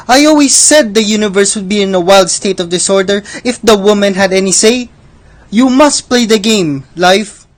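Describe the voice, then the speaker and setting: A woman's voice: medium pitch, a little slow, natural voice. A woman playing Death as a sly, scornful gambler in a radio drama, with a theatrical, condescending delivery. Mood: scornful, firm.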